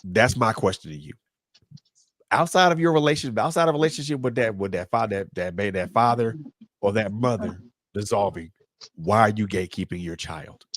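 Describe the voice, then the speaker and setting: A man's voice: fairly low pitch, lively intonation, brisk, fairly bright tone, no room sound. A man speaking as a guest in a panel discussion, emphatic and preacherly, repeating phrases to drive his points home.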